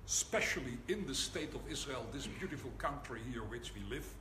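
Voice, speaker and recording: Older male lecturer, Dutch accent, dry wit, measured delivery, roomy hall with background noise. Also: medium pitch, lively intonation. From Netherlands. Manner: wry, deliberate, pauses for laughs. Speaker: rabbi giving a lecture. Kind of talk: public lecture. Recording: echoey hall, audible room noise.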